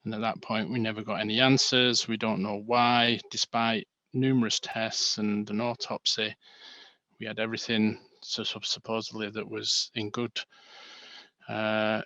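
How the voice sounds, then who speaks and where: Gravelly Manchester man, halting and flat. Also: fairly low pitch, no room sound. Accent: Manchester English. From Manchester, England. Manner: halting, many fillers and restarts. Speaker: co-presenter of a seminar series. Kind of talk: spoken seminar presentation.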